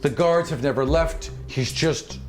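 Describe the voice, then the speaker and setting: A man's voice: on the high side, a little slow, soft background music, voice pushed up, lightly acted. A man voicing an urgent, apologetic palace guard in a tabletop fantasy game, in short clipped phrases. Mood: alarmed. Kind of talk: in-character tabletop roleplay.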